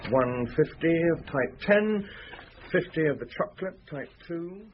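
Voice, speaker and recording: An actor playing a smooth married tradesman under suspicion in a radio drama, matter-of-fact and outwardly unruffled. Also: fairly high pitch, lively intonation, measured pace. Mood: businesslike. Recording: dull, noisy radio drama recording.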